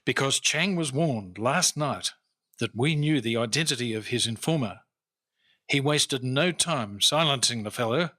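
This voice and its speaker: An actor playing a shrewd, wry Victorian-era customs inspector in a radio detective drama, formal and measured as he talks and narrates his cases. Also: medium pitch, lively intonation, pushed and bright, dry recording. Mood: dry, knowing.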